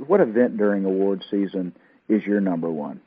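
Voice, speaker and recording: Husky-voiced man hosting over a tinny line. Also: sits low, a little fast. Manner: conversational, asks follow-up questions. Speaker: radio host. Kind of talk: radio interview.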